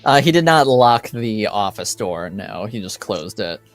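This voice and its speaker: A man narrating a tabletop game as game master, improvising hesitantly with many pauses. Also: on the low side, big pitch swings.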